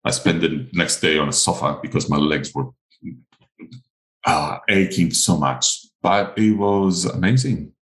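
Interview guest: greek-accented man, low deep voice, hesitant but eager, echoey room. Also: unhurried, fairly bright tone. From Crete, Greece. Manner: hesitant, enthusiastic, many fillers and restarts. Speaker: guest artist. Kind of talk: casual interview chat.

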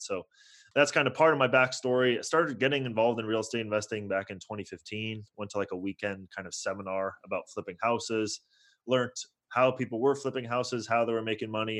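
A man's voice: on the low side, fairly bright tone. A man in his twenties with a North American accent, telling his story casually and informally as a podcast guest. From born in Toronto, grew up in California's Bay Area. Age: 20s. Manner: informal, slangy, peppered with "like" and "you know". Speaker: podcast guest, real estate investor.

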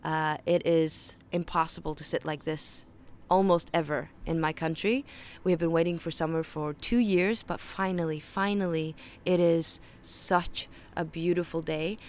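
A woman's voice: deep voice, steady tone, normal speed, a little mellow. A young woman with a Faroese accent, a native Faroese speaker, hosting a podcast in a casual, earnest monologue full of small restarts. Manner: earnest and conversational, with frequent restarts. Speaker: podcast host. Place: outdoor home balcony. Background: birds and distant ships.

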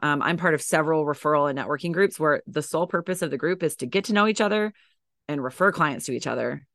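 A woman's voice: low-pitched, fast, fairly bright tone, dry recording, clean studio sound. A woman with a scratchy, tired voice from teaching, chatting as a podcast guest with lots of fillers and restarts. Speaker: podcast guest, dance educator and coach. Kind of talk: casual interview chat.

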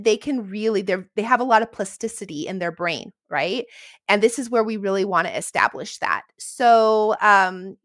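A woman's voice: mid-range voice, fairly bright tone, no room sound, studio quality. A woman speaking as an educator guest in an interview, giving encouraging, reassuring advice in a conversational way.

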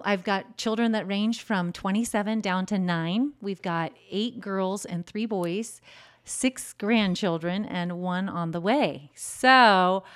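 A woman's voice: medium pitch, clear, resonant tone. A woman in her fifties, a mother of many, talking earnestly as an interview guest. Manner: warm, earnest, faith-filled. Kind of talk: casual interview. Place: home studio. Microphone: large studio microphone.

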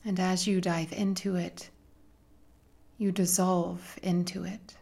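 Slow, creaky-voiced woman guiding meditation. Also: fairly low pitch, little pitch movement. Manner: soothing, gentle, with long pauses. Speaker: meditation guide. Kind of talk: guided meditation.

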